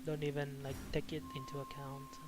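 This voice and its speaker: A man's voice: mid-range voice, completely flat delivery, brisk, very noisy. A man talking casually as he streams a game, rambling and repeating himself with some casual swearing. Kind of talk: casual chat while gaming.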